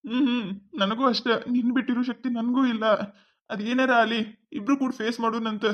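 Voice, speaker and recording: A woman's voice: fairly high pitch, steady tone, fairly quick, highs cut off, natural voice. A woman playing a devoted young boyfriend. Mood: apologetic. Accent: North Karnataka Kannada. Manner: coaxing, reassuring.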